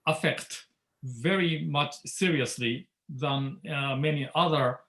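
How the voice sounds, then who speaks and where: A male professor speaking as a panelist in an online discussion, deliberate and hesitating with "uh". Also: on the high side, sharp tone.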